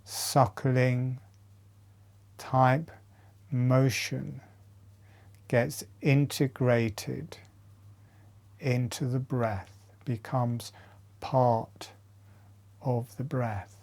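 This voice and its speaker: A man leading a guided breathing meditation as an instructor, in short, measured phrases with long pauses. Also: medium pitch, flat delivery, gravelly, darkish tone.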